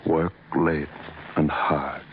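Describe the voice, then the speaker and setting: Haunted, deep-voiced, brooding scientist. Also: male voice, little pitch movement, exaggerated voice. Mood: brooding, bitter. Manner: slow, brooding, repetitive monologue. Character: haunted, guilt-ridden male scientist brooding over his ruin. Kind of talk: old-time radio horror narration. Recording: muffled, noisy old radio recording.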